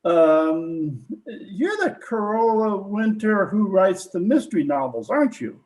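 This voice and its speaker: A man's voice: sits high, sing-song, a little slow, a little mellow, low-quality recording. A man playing a plain-spoken police detective sergeant in an old-time radio drama, with a hesitant, folksy, polite manner. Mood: polite, probing.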